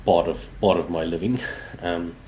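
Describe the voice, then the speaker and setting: Male game developer, forties, South African accent, native Afrikaans, low-pitched, conversational, noise behind him. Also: steady tone, normal speed, a little mellow, rough recording. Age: 40s. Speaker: interview guest, indie game developer. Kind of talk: casual interview answers.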